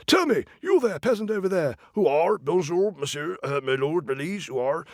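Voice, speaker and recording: Doddery storybook king, played by a man, high-pitched and flustered. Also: very animated, normal speed, darkish tone, dry recording, studio quality. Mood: dithering. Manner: flustered, stammering, posh exclamations. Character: dithering, fussy, elderly male king.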